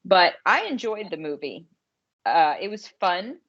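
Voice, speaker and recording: A woman speaks as a podcast co-host in a casual, conversational style. Her voice sits a little low for a woman. The audio is slightly dull and lo-fi. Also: sing-song, unhurried, fairly bright tone. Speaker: podcast co-host and romance author.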